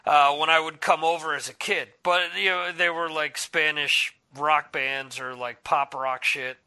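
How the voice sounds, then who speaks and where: Podcast host, man in his forties, bright slightly high voice, easygoing profane chat. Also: fairly even intonation, measured pace, clean tone. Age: 40s. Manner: loose, swearing, laughs often. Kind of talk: casual chat. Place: lives in Spain.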